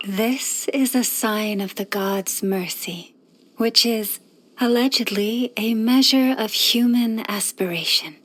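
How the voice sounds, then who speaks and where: An actress voicing a gentle young moon priestess in a video game, with a soft, breathy voice and a calm, soothing, formal manner. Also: female voice, a little high. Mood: serene, reflective. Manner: calm, measured, soothing, formal poetic phrasing. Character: gentle, serene young woman, moon priestess of a forest faith. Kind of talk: scripted video game character voice lines.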